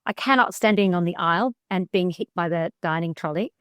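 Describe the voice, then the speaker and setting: A woman co-hosting a travel podcast, chatting in a lively, playful, emphatic way. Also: on the low side, fairly quick, no echo, studio quality. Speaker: podcast co-host. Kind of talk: casual chat.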